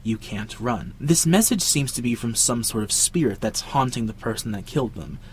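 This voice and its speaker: A man's voice: medium pitch, animated, moderate pace, a little hiss or hum. A young man hosting a video essay with casual scripted narration and dramatic emphasis. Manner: dramatic emphasis with jokey asides. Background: background music.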